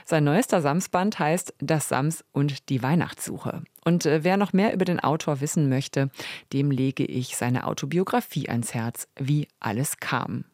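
A woman's voice: low-pitched, measured pace, a little mellow, no echo, studio quality. A woman presenting a podcast as host, reading scripted radio narration.

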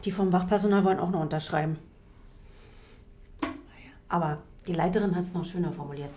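A woman playing a stern but quietly sympathetic prison warden in a radio drama. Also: lowish voice, brisk, lightly acted. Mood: reading aloud, official. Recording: roomy sound, faint noise.

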